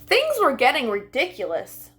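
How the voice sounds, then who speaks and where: Female children's storytime host: high, animated read-aloud delivery, speaking straight to little ones. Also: normal speed. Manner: expressive, addressing children directly.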